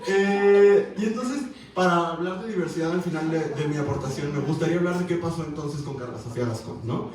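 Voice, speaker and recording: A man with a Mexican accent speaking on a university panel in a wry, animated, conversational way with many fillers. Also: a little high, fairly quick, hardly any pauses. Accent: Mexican Spanish. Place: university auditorium. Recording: echoey hall, from far off.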